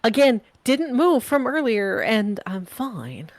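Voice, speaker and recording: A woman from the Pacific Northwest chatting casually over a home voice call, talkative and quick to laugh. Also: on the high side, very animated, no echo. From Pacific Northwest, USA. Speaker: voice actor in a group game playthrough. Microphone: home mic on a voice call.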